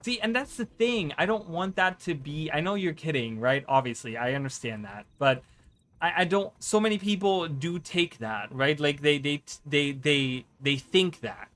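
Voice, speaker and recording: A man's voice: a little high, lively intonation, normal speed, a bit bright. A man whose first language is German, chatting casually on a live stream, rambling with stammered restarts and a habit of asking "right?". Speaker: streamer and content creator.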